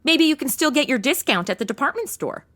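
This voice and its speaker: A woman's voice: sits high, sing-song, fairly quick, a bit bright. A woman playing a brash, snarky young modern sidekick in a comedy audio drama, slangy and sarcastic. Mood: sarcastic, quippy.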